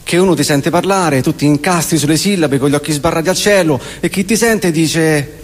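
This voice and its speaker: A Roman man in his forties delivers a comic theatre monologue in a fairly high voice. He leans on repetition and deliberate pauses, and his words ring out with the long reverb of a live hall. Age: 40s. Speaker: stage performer.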